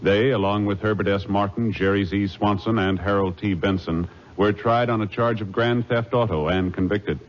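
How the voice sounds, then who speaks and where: Old 50s radio announcer guy, really low voice, formal and official, muffled sound. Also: fairly even intonation, faint music behind. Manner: measured, official. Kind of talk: formal 1950s radio narration. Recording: muffled 1950s radio recording.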